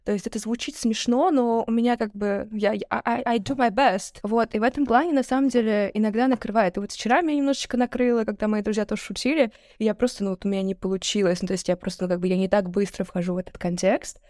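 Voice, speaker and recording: Fast-talking young woman, animated storyteller. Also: medium pitch, dry recording, studio quality. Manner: rapid, animated storytelling, acting out quoted people. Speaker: podcast guest. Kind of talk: casual chat.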